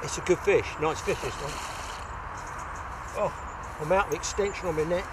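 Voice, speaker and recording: A man's voice: a little high, normal speed. An older man hosting a fishing show, chatting casually and exclaiming to viewers in an outdoor recording. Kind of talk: casual fishing vlog commentary to camera. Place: outdoors on a grassy riverbank. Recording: outdoor location sound with background noise.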